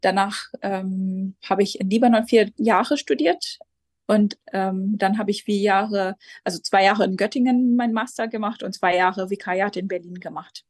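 A woman in her twenties from Jerusalem, a pastor answering interview questions hesitantly, with many pauses and restarts. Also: medium pitch, steady tone, dry recording. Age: 20s. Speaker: Lutheran pastor, guest.

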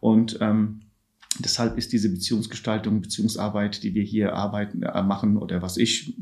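Halting middle-aged man, lower voice. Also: little pitch movement, darkish tone. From Germany. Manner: hesitant, many filler sounds, halting restarts. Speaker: ward manager on an addiction ward. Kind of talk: podcast interview answers.

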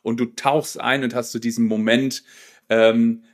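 A man in his forties with a loud, commanding voice, telling his own story as an interview guest in a reflective, halting way. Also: medium pitch, flat delivery. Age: 40s. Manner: reflective, halting with frequent pauses and repeated words. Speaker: podcast guest, personal development coach.

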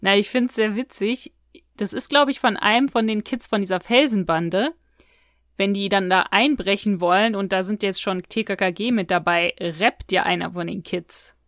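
A woman's voice: medium pitch, normal speed, fairly bright tone, no echo, clean studio sound. A woman co-hosting a podcast, giving her opinions in a casual, conversational review chat. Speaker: podcast co-host. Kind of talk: casual review chat about audio dramas.

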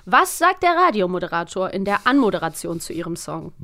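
A woman's voice: lowish voice, very animated, a little fast, bright, forceful tone. A woman hosting a casual podcast, chatting in a playful, encouraging way. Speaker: podcast host and quizmaster.

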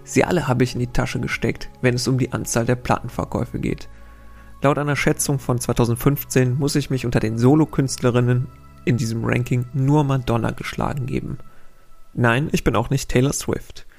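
A man's voice: mid-range voice, steady tone, a little fast, creaky, a little mellow, faint music behind. A man hosting a casual quiz podcast, reading out riddles and wandering into chatty, self-correcting asides.

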